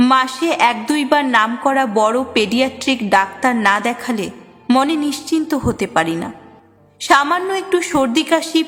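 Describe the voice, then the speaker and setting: A high-pitched woman narrator reads a reflective personal story. Her voice rings with long reverb over background music. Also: a bit bright.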